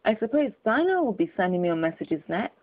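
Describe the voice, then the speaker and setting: A woman's voice: medium pitch, big pitch swings, brisk. A woman reading an earnest young girl. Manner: earnest, talking to herself. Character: curious, polite young girl. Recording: tinny phone-like audio.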